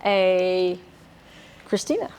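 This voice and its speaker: A woman's voice: fairly low pitch, animated, drawn-out words, darkish tone, a little hiss or hum, rough recording. A woman hosting a podcast, chatting casually and backing her guest with affirming remarks. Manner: supportive, affirming interjections. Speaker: podcast host. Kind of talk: casual interview chat.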